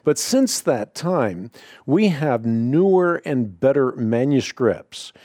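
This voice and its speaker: A man's voice: medium pitch, sing-song, mellow, no echo, clean studio sound. Man hosting call-in radio. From Netherlands. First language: Dutch. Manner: explanatory, measured, frequent uh fillers. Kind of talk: call-in radio Bible answers.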